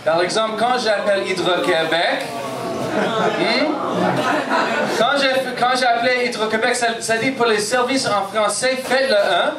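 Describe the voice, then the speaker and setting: Man in his thirties, anglophone Montreal accent, English native speaker, stand-up comedy, high-pitched, echoey room picked up from afar. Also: unhurried, recorded from a distance, a loud crowd. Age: 30s. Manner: self-deprecating, punchy, frequent "ok?" check-ins. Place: comedy bar stage.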